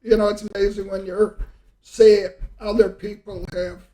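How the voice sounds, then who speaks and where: A man's voice: high voice, little pitch movement, measured pace, mellow, poor audio. A middle-aged man in casual conversation, reminiscing and telling stories in a rambling way, often trailing off mid-thought. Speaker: podcast host and storyteller.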